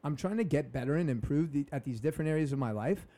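A man's voice: fairly high pitch, expressive, quick pace, dark tone. A young man hosting a podcast, talking in a casual, upbeat and motivational way with some slang. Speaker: podcast host. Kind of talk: casual conversation.

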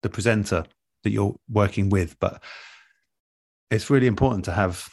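A man in a relaxed interview, explaining things in a thoughtful, measured and earnest way as an experienced producer. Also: on the low side, no room sound, studio quality. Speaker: interview guest, audio drama producer. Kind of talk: relaxed advice-giving conversation.

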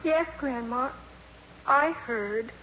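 An actress playing an earnest, easily wounded high school girl in a 1950s radio comedy. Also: drawn-out words. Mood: wounded, resigned. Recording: muffled, noisy old radio broadcast.

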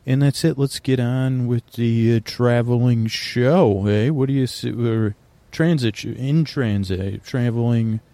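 A man hosting a sleep podcast, talking in a meandering, halting way full of restarts and fillers, recorded on location in a quieter corner of an airport. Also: mid-range voice, no room sound. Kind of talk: rambling bedtime podcast talk.

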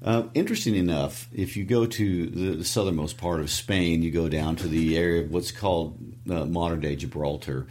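A man with a Midwestern accent co-hosting a podcast, explaining a book's plot in a relaxed, casual way with fillers. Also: low voice, moderate pace, few pauses. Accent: Midwestern American. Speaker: podcast co-host. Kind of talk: casual book discussion.

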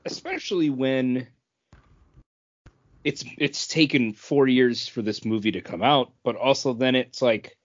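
A man's voice: mid-range voice, a little slow, no echo, highs cut off. A man co-hosting a podcast in a casual, conversational style. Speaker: podcast co-host. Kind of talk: casual pop-culture chat.

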